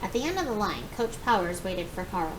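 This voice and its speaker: Expressive woman storyteller over noisy background. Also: a little fast. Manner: expressive, acts out dialogue. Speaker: read-aloud storyteller. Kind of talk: animated children's book narration. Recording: clear background noise with low rumble.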